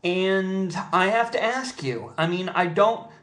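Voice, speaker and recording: Earnest American man, slightly high-pitched voice. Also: expressive, a bit bright. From United States. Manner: earnest, pleading, halting with deliberate pauses. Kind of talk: impassioned personal appeal to camera.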